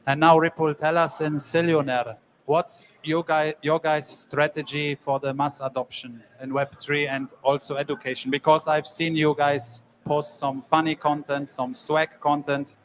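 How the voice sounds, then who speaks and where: A man's voice: a little high, little pitch movement, measured pace, a bit bright, a little hiss or hum. A man moderating a conference panel, introducing guests and asking questions in loose, rambling, informal phrasing. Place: conference stage.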